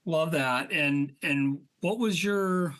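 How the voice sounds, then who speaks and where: A man's voice: a little high, slow pace, a bit bright. Encouraging male podcast host. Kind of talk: casual interview chat.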